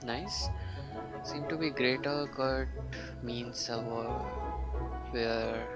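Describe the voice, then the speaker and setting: A young adult man giving casual live gameplay commentary as a streamer, halting and musing aloud. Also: medium pitch, steady tone. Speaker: game streamer. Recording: loud game music behind the voice. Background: video game music.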